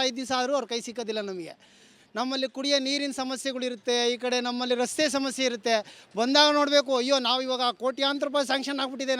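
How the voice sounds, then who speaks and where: Very high-pitched, rapid man. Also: a bit bright. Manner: insistent, repeats words for emphasis. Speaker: member of the public airing grievances. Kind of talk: colloquial complaint.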